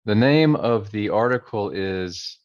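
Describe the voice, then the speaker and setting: Relaxed man with a lowish voice. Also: dry recording. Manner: reads quoted passages slowly and emphatically; relaxed, conversational, with fillers. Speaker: channel host. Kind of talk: casual commentary reading old newspaper articles. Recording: dry, dull lo-fi audio.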